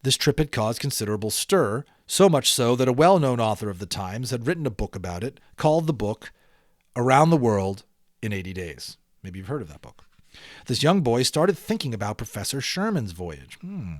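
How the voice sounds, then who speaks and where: A man's voice: medium pitch, very animated, normal speed, no room sound, clean studio sound. A man narrating a children's audiobook expressively, with dramatic emphasis and chatty asides to listeners.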